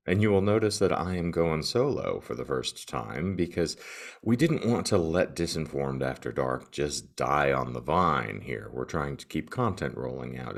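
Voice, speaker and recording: A man with an extremely low, gravelly voice hosts a podcast on his own. His delivery swings widely in pitch, with florid, wordy phrasing and a tongue-in-cheek, theatrical touch. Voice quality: gravelly morning voice. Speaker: podcast co-host. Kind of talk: solo podcast monologue, comic reading of reviews.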